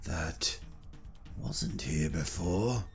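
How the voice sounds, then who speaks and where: A woman voicing a cold, cruel middle-aged male soldier villain in an audio drama, with a low, rough, sneering delivery. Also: expressive, soft background music, full character voice. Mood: grim disbelief. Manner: slow, sneering, menacing. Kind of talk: audio drama character acting.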